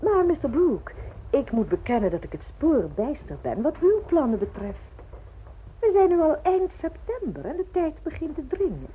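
A woman's voice: sits high, very animated, normal speed, warm tone, a little hiss or hum, rough recording. An actress in a radio play voicing a genteel, sweetly insinuating society lady with an arch, coy manner.

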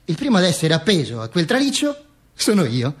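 A man's voice: sits high, wildly swooping pitch, brisk, breathy edge. A man performing a dramatic radio monologue in a declamatory way, with emphatic, repeated phrases. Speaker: stage actor performing a monologue.

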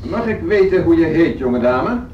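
A man's voice: on the high side, expressive, moderate pace, natural voice. Echoey, stern male orchestra conductor played by an actor. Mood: condescending, firm. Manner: condescending, formal, commanding. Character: stern, authoritarian music school orchestra conductor, losing patience. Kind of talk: radio drama acting. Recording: echoey, distant, dull noisy radio recording.

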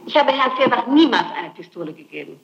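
A woman's voice: fairly high pitch, sing-song, fast, gravelly. Insistent woman on echoey old tape. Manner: insistent, emphatic, repetitive denials. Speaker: accused suspect under interrogation. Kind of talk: interrogation testimony. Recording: muffled echoey archival interrogation tape.

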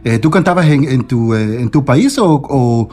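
A man's voice: medium pitch, expressive, unhurried, a little mellow. A man hosting a Spanish-language radio show, interviewing a guest with many fillers and restarts. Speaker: radio host.

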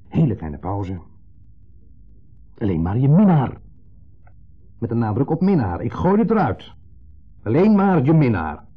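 A muffled 1970s radio drama recording of an insecure, jealous husband figure with a Netherlands Dutch accent. His voice is low and husky. He speaks theatrically, repeating himself and pressing on words with a needling edge. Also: heavily acted. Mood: sarcastic, pointed. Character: insecure, needling man dwelling on old jealousy. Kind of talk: 1970s radio play acting.

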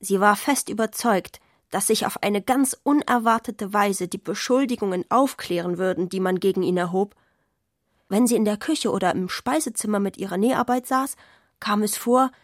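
A woman reads scripted literary narration for a radio play. Her pitch is in the middle range and the sound is very dry. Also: dry recording.

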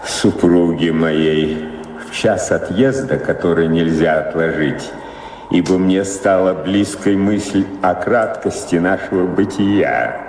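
Sober, dry manservant, very low voice, deliberate and formal, radio drama in a reverberant hall. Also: male voice, a little mellow. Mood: solemn, reciting. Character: dry, philosophical bachelor servant acting as scribe. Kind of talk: theatrical radio drama acting. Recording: reverberant hall, background noise.

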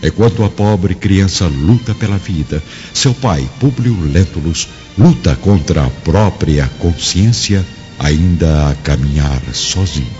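A solemn Brazilian radio drama storyteller with a very deep voice. His delivery swings expressively through the narration. The audio is dull, with prominent music underneath. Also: expressive, measured pace, warm tone. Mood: grave.

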